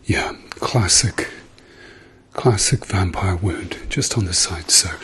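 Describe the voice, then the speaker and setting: A man doing a soft-spoken, often whispered ASMR roleplay as a gentle, reassuring doctor with a dryly playful manner. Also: sits low, takes it slowly, slight background noise. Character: calm, reassuring male doctor treating a patient.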